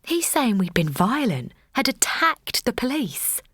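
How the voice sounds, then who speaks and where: A woman's voice: on the high side, sing-song, measured pace, fairly bright tone, husky, no room sound, clean studio sound, heavily acted. An actress playing a tired mother of a baby with an English accent, narrating confidingly in first person for an audio drama. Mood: uneasy. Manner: confiding, present-tense storytelling.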